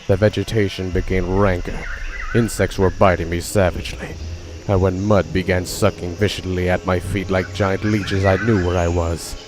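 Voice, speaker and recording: A man's voice: deep voice, flat delivery, moderate pace, noisy background, natural voice. A man acting as a hunted fugitive, narrating his desperate flight in an intense, suspenseful radio drama style. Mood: grim, tense. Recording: loud music and insects behind voice.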